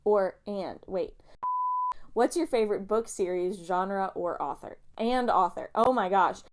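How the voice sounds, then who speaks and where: A young woman rambles through a book vlog in a loose, self-mocking way and keeps wandering off topic. Her voice is smooth and clean, with a slight nasal, sniffly edge from allergies. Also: medium pitch, measured pace. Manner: chatty, self-mocking, goes off on tangents. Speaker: booktuber.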